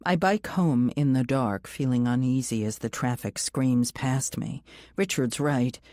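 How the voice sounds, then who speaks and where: Low, mellow woman narrating an audiobook. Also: measured pace, dry recording, clean studio sound. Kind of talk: scripted first-person thriller narration.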